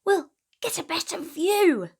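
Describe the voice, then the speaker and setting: A woman's voice: very high-pitched, lively intonation, unhurried, voice pushed up, full character voice. A woman voicing a little, keen Viking schemer in a storybook, eager and conspiratorial.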